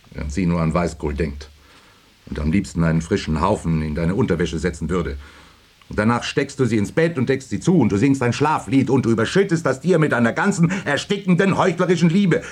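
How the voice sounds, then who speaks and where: An actor in his forties playing a bitter, suspicious factory worker husband in a radio play, with a husky voice and a needling manner. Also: fairly high pitch. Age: 40s. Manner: needling, brooding, rhetorical questions. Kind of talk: German radio play acting.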